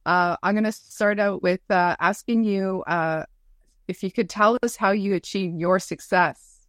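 A woman's voice: medium pitch, fairly even intonation, measured pace, no room sound, studio quality. A woman hosting a casual interview in an effusive, affirming, conversational way. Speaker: interviewer, host.

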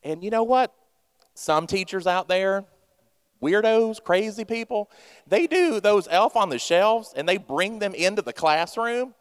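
A man's voice: high-pitched, unhurried, clear, resonant tone. A man in his thirties preaching a humorous sermon, playful and self-mocking, talking straight to his congregation. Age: 30s. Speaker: pastor. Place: church sanctuary. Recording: long church hall reverb.

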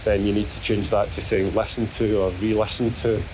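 A hoarse middle-aged Scottish man talks in a halting, self-deprecating ramble, his voice sitting fairly low. He records outdoors, with clear noise behind him. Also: level tone. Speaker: podcast host. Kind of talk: casual spoken diary. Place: outdoors on a beach.

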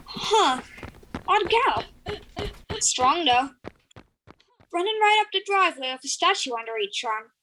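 A woman's voice: very high voice, expressive, measured pace, pauses often, bright, forceful tone, slight background noise, exaggerated voice, rough recording. An actress playing a fussy, chatty, eccentric lady boss in an audio drama, with prim, theatrical delivery. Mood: chatty, oblivious.

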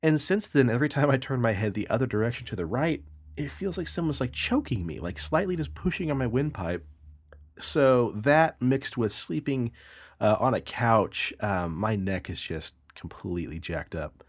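A man in his thirties co-hosting a podcast, a little nasal from a sinus infection, telling animated stories with swearing and laughter. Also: mid-range voice, normal speed, husky. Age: 30s. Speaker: podcast co-host. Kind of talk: casual chat.

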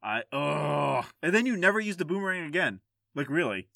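A man's voice: a little high, big pitch swings, fairly quick, creaky, bright, forceful tone, no echo, clean studio sound. A man hosting a casual video game podcast, talking informally with swearing and easy laughter. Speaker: podcast host.

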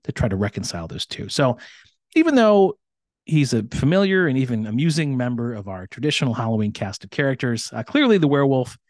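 A man co-hosts a podcast, speaking thoughtfully and a bit hesitantly, with many pauses. His voice sits in a middle pitch range. The recording is dry and close. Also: big pitch swings, darkish tone, no room sound. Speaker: podcast co-host. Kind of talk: casual chat.

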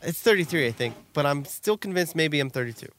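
A man in his thirties co-hosting a podcast in casual chat, animated and quick to laugh. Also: a little high, slight background noise. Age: 30s. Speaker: podcast co-host.

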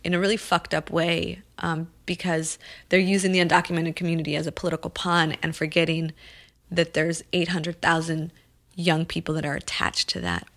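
A woman's voice: deep voice, a bit bright. A woman who grew up in Los Angeles, speaking as an immigrant rights advocate in an earnest, impassioned conversation. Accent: Los Angeles American English. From Colombia. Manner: impassioned, restarts phrases, builds to emphatic peaks.

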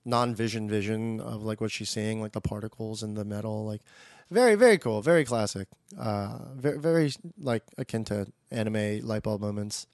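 A man co-hosting a podcast, chatting casually and effusively about an animated show in slangy, informal language. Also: on the low side, moderate pace, no room sound, studio quality. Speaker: podcast co-host.

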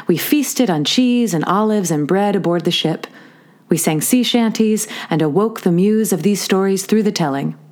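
A woman giving a scripted introduction as a theatre host, measured and articulate. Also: lowish voice, darkish tone. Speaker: theatre company host introducing audio drama. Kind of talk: scripted narration.

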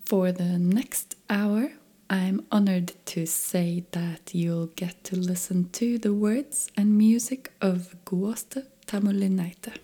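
Female podcast host; unhurried, dark-toned, soft and measured delivery. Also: medium pitch, steady tone, slightly rough. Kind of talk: scripted podcast intro and interview. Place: home in Stockholm.

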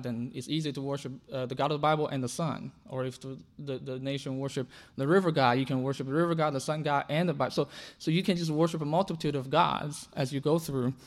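A man from China, whose first language is Chinese, preaching a sermon earnestly, often restarting and repeating words. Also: medium pitch. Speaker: pastor.

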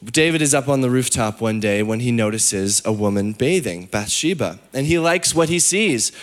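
A man preaching a sermon as pastor, hoarse from losing his voice, talking candidly with fillers and restarts. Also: mid-range voice, animated, moderate pace. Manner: candid, self-deprecating, many fillers and restarts.

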